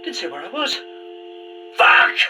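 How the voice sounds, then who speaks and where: A woman's voice: medium pitch, very animated, unhurried, bright, forceful tone, distant mic, a little hiss or hum, full character voice. A woman playing a frantic, entitled younger woman in an audio drama, sweary, sarcastic and exasperated. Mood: furious, desperate. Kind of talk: naturalistic audio drama acting.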